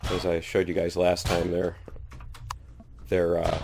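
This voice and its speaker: A man with a very low voice, a bit under the weather with a cold, talks his way through a video game in a relaxed, chatty way. He pokes fun at himself as he plays. Steady hiss and game noise sit behind his voice. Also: a little slow, gravelly. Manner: chatty, self-deprecating, laughs at own mistakes. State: has a cold. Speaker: gaming YouTuber. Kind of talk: casual let's-play commentary.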